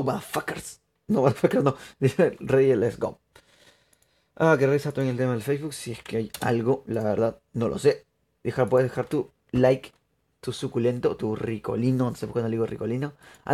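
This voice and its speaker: A young man from Peru, chatting excitably to viewers through live gameplay commentary. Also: mid-range voice, a little fast. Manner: chatty, excitable, laughs often, addresses viewers. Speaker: streamer.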